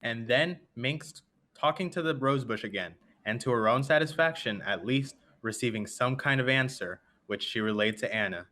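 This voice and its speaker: A man's voice: mid-range voice, moderate pace, sharp tone, close to an everyday voice. A young man narrating a scripted radio play as its storyteller. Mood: storytelling.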